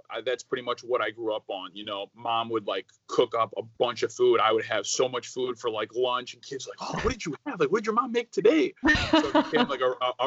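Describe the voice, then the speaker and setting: A man's voice: mid-range voice, dry recording, dull audio. A young American man raised speaking Croatian, telling stories in a rambling casual way full of "like" fillers. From United States. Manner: rambling, many "like" fillers, animated storytelling. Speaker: guest. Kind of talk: casual interview chat.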